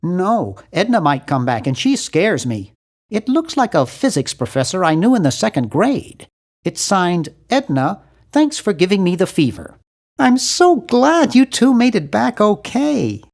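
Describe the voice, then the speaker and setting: Cartoon game lead: nervous young American geek, high nasal voice for a man, very animated, fussy and earnest. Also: unhurried, heavily acted. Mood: nervous, eager. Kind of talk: cartoon adventure game voice acting.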